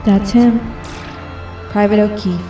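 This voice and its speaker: Woman acting a young female soldier over loud music. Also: medium pitch, steady tone, slow, recorded from a distance, natural voice. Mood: shocked, anguished. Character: disillusioned young woman soldier on covert mission. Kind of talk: first-person audio drama inner narration.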